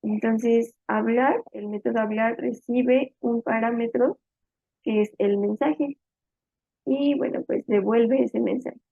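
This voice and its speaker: Woman, programming instructor, higher voice, slight fry, informal step-by-step explaining, thinking aloud. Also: steady tone, takes it slowly, mellow, dry recording. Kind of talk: step-by-step tutorial narration while typing. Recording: narrow phone-like sound, dry.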